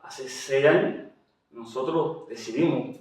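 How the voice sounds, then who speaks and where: A man with a Cuban Spanish accent, heard from a little way off the mic in a very echoey room. He talks at a mid pitch, hesitating often and restarting his sentences as he shares his story. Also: slow, far from the mic. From Cuba. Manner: hesitant, with frequent fillers and restarts. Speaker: interview guest, general doctor. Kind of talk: testimony interview.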